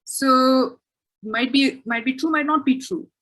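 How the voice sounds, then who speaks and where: A woman's voice: high voice, unhurried, a bit bright, rough recording. A woman author answering questions in a webinar, thoughtful and self-correcting, with frequent restarts.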